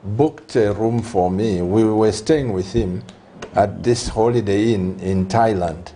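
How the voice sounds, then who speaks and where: An unhurried male host with a soft-edged, mellow tone. He leads a conversational interview, phrasing his thoughts deliberately and haltingly in a fairly low voice. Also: slight background noise. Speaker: host, church leader.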